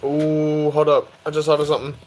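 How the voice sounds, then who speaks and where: A man in his early twenties casually chatting on a live stream, reading out and answering viewer questions in a relaxed, easygoing way. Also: a little high, brisk, slight background noise. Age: 20s. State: tired. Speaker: livestreamer reading chat.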